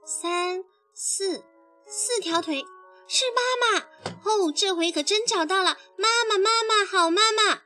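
A woman plays a swarm of tiny baby tadpoles in a storybook. The voice is very high and bright, eager and excitable, calling out in sing-song phrases over faint music. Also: slow, full character voice. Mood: excited, eager.

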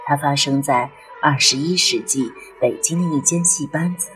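A woman a breathy voice and reads literary narration in a measured, expressive, dramatic way, as an audiobook narrator. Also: deep voice, low-quality recording. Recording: music under the voice. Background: background music.

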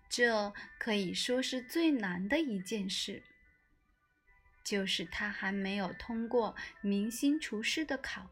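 A woman reads a children's tale with a bright, animated delivery. She takes her time, shaping each phrase expressively for young listeners, with soft music behind her. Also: on the high side. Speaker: children's story narrator. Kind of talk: expressive storybook narration for children.

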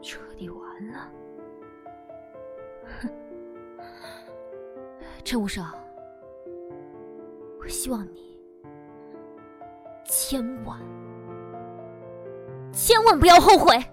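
A woman playing a teasing, proud teenage schoolmate in an audio drama, expressive and by turns offhand and dramatic. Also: sits high, very slow, long pauses, over music, exaggerated voice. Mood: upset, hurt. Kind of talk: Chinese audio drama acting.

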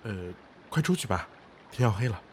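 A gentle male storehouse keeper, voiced by an actor, speaks calmly and indulgently. His voice sits low. Faint stream-like ambience runs behind this fantasy drama. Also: normal speed, a little hiss or hum, close to an everyday voice. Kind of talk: fantasy audio drama acting.

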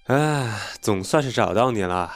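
Man acting a flippant, low-voiced experiment agent. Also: lively intonation, measured pace, dry recording. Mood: brisk. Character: flippant, scornful male colleague from a secret experiment. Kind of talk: Chinese sci-fi radio drama acting. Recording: loud music bed under the voice.

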